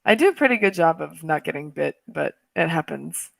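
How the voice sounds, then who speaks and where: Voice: mid-range voice, animated, fairly quick, gravelly, a bit bright, no echo. An English tutor chatting casually on a video call, laughing often and saying "like" a lot.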